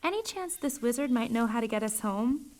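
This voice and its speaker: An actress playing a sweet, upbeat teenage cheerleader with a chirpy manner. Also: female voice, fairly high pitch, animated, a little fast, a little mellow, a little hiss or hum, natural voice. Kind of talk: audio drama acting.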